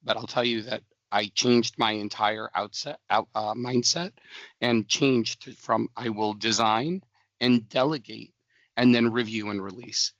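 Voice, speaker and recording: Hoarse man on a muffled call. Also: medium pitch, fairly bright tone, no echo. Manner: rambling, advice-giving, many restarts. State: hoarse, lost voice. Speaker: community member, former developer. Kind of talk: casual video call chat.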